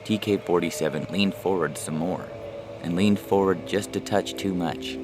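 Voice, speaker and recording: A man's voice: sits low, normal speed. A man narrating a scripted science fiction short story. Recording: loud music under the voice. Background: loud music.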